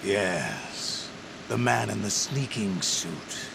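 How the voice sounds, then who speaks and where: An actor playing a cold, commanding terrorist leader in a video game, curt and gravelly. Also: male voice, fairly low pitch, expressive, extremely slow, exaggerated voice. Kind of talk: video game cutscene acting. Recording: noisy vehicle-like ambience behind.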